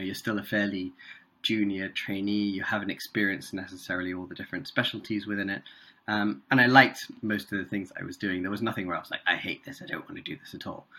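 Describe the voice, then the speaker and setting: A man in his thirties from South India and Singapore with an English accent, chatting casually as a guest neurosurgeon with frequent pauses. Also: fairly low pitch, bright, forceful tone. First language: Tamil. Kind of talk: casual interview chat.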